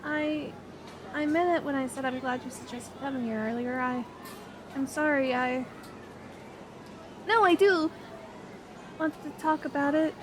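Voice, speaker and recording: A woman playing a sweet, bashful young woman talking to her best friend in an ASMR roleplay, expressive and a little hesitant. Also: high-pitched, a little slow, long pauses, noisy background. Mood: tender, vulnerable. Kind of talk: ASMR roleplay audio drama.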